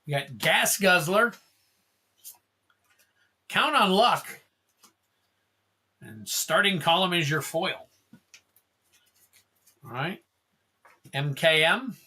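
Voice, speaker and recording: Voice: medium pitch, animated, extremely slow, pauses often, bright, forceful tone, slightly rough. A livestream host opening card packs, chatting casually, calling out card names and thanking viewers in a rambling way.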